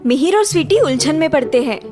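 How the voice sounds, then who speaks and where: High-pitched woman narrating a cartoon story. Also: animated, brisk, music in the background.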